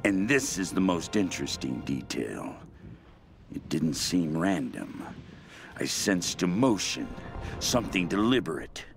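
A sinister, calculating castaway in a video game. His voice is extremely low and creaky, with theatrical, swooping intonation. He narrates his journal with brooding deliberation. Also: very animated, unhurried, heavily acted. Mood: chilling fascination. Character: cold, calculating stranded male castaway, sinister and obsessive. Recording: music behind the voice.

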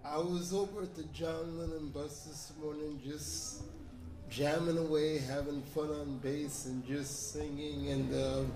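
A man with a slightly raised voice talks at a slow, easy pace, earnest and chatty. He holds a handheld mic in a big, echoing convention hall. Steady background noise fills the room. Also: on the high side. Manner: unhurried, earnest, chatty storytelling. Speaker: audience member. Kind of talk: spontaneous speech to a panel. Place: large convention hall with audience.

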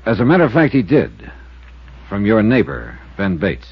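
Hard-boiled American male investigator, 1950s radio drama. Clear, animated delivery, wry and clipped, dull vintage sound. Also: on the low side, moderate pace, heavily acted. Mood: probing, matter-of-fact. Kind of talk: 1950s radio detective drama acting and narration. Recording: muffled 1950s radio recording, music behind.

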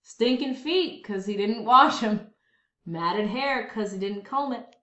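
A woman reading a children's story aloud with expressive, dramatic, emphatic phrasing. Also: a little high, slow pace. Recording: dull lo-fi, roomy echo.

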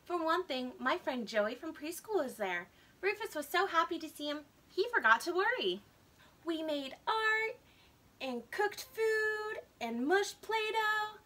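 An eager little kindergarten boy from a storybook, played by a woman. He is high and bright, boastful yet anxious, and swings up and down excitably. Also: unhurried, exaggerated voice. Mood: excited. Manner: excitable, childlike, expressive storybook delivery.

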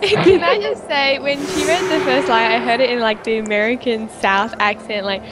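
A woman's voice: fairly high pitch, moderate pace, heavy background noise. A woman with an Australian accent co-hosting a casual gameplay chat, giggly and chatty. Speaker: co-host.

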